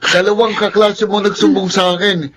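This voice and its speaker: A man's voice: high voice, little pitch movement, normal speed, fairly bright tone, a little hiss or hum. A man playing a smug, accusing older brother in a radio drama, with a taunting way of talking.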